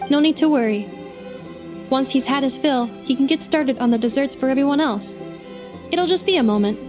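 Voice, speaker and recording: A woman voicing a calm, formal robot companion. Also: sits high, over music, close to an everyday voice. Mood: reassuring. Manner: measured, formal, slightly mechanical phrasing. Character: gentle female robot companion, calm and thoughtful. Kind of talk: video game voice acting.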